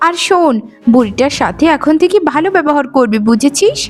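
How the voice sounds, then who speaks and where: Woman acting a scheming, high-voiced young cartoon sister. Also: animated, brisk. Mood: cunning, bossy. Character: scheming, bossy young sister plotting against old woman. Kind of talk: cartoon moral-story dialogue. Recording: music under the voice.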